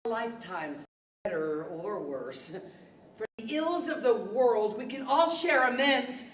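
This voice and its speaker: A woman's voice: on the high side, animated, takes it slowly. A woman playing a giddy, friendly poet who declaims theatrically in a radio-style stage play. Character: giddy, friendly rhyming poet of storybook land. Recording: echoey hall, distant microphone, hiss.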